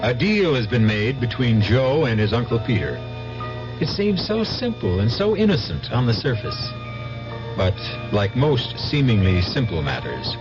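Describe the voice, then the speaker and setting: A man hosting a radio drama with theatrical, portentous suspense narration. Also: medium pitch. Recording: dull old radio broadcast recording. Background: prominent music.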